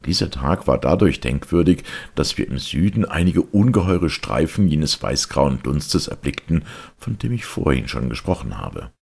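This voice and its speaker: Very deep, gravelly male storyteller. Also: sing-song, normal speed, hardly any pauses, a little mellow. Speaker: audiobook reader. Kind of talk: literary audiobook narration.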